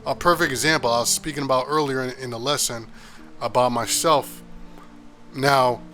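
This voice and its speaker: An American man teaches scripture in a loose, conversational way, pressing his points with emphasis and often stopping to restart a sentence. His voice is bright and forceful, sitting at an ordinary pitch for a man. Soft music plays faintly behind him. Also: steady tone, normal speed. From United States. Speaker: Bible teacher. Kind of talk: informal Bible lesson.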